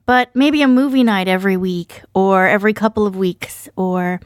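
A woman speaks at a medium pitch in a conversational interview style. She sounds like a podcaster explaining her work. The recording is dry, with very little room sound. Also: normal speed, clear, resonant tone, no room sound. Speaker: podcast co-host and staff member being interviewed.

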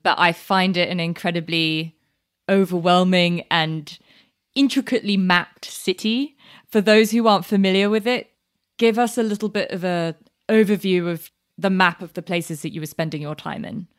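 A British woman hosts a conversational interview. Her voice sits a little low for a woman. She speaks as a podcast interviewer, putting questions and reflections to a guest. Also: fairly even intonation, moderate pace, clean tone. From Britain.

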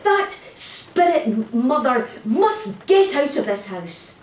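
Echoey, high-voiced exasperated mother played by an actress. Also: very animated, takes it slowly, a little mellow, heavily acted. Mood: frustrated, insistent. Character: exasperated, outspoken mother running a crowded household. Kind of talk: audio play acting. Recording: echoey, distant, faint noise.

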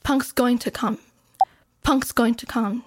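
A woman's voice: on the high side, steady tone, a little hiss or hum. A woman recording voice lines and repeating a tricky phrase over and over. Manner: repeats a tongue-twister line slowly. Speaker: voice actor.

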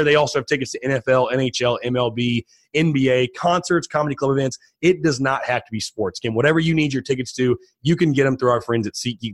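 A man with a mid-range voice rattles along at a quick clip as he hosts his sports podcast solo. His voice is a bit hoarse and raspy from allergies and shouting. The sound is dry and close, with no room echo. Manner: energetic, chatty, repeats himself while making pitches. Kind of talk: casual solo podcast talk.

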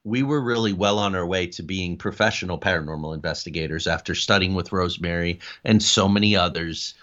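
A man's voice: low voice, fairly quick, a bit bright. A man explaining things conversationally as an interview guest and paranormal investigator, recorded in lo-fi sound.

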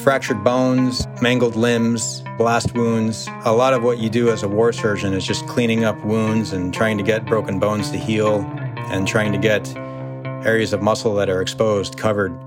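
Man, american surgeon, lowish voice, halting interview talk, faint guitar behind. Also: level tone. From United States. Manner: thoughtful, halting, uses fillers. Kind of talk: interview recollection.

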